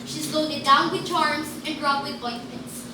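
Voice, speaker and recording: Far from the mic in a noisy, echoing room, a woman plays an outspoken girl with a very high voice. She argues emphatically and indignantly, as in an amateur staged reading. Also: fairly even intonation, a little slow. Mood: indignant, urgent. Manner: emphatic, argumentative. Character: outspoken, indignant girl defending women and babies. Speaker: student performer in a reader's theater. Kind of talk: amateur reader's theater dialogue.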